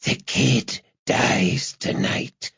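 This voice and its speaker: A man voicing a crazed, menacing kidnapper on the telephone in an audiobook, with a hissing, gasping rasp and a taunting, gloating manner. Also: mid-range voice, drawn-out words, a bit bright, dry recording, highs cut off, heavily acted. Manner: gloating, sneering, repetitive.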